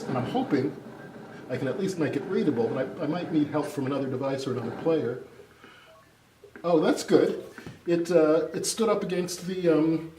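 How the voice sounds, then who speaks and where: A man's voice: a little high, darkish tone. A man giving an informal live product demonstration, exclaiming and drifting into distracted asides. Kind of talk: informal live tech demo. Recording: echoey, noisy room, distant mic, clattering.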